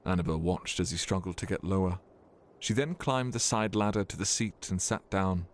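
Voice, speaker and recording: A man's voice: low-pitched, normal speed. A man reading scripted narration for a fantasy audio drama as its storyteller.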